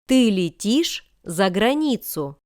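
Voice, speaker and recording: A woman reading scripted example sentences for language learners with careful, deliberate enunciation. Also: mid-range voice, very animated, no room sound, clean studio sound. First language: Russian. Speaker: language lesson reader.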